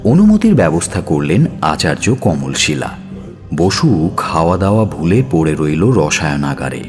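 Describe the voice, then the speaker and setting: A man narrating a scripted audio story in a measured, dramatic style. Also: low-pitched, sing-song, darkish tone. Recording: music bed under narration.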